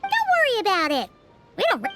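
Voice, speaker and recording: Tiny perky fairy companion for a game: very high, childlike, lively, exclamatory. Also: female voice, a little fast, soft background music, heavily acted. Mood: eager, reassuring. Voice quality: squeaky, childlike. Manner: chatty, exclamatory. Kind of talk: video game voice acting.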